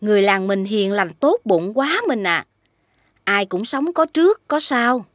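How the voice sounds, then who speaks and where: An actress with a southern Vietnamese accent playing a kindly, modest, grateful village lady in an animated folktale, gentle and gracious. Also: mid-range voice, a little slow, dry recording, clean studio sound, natural voice.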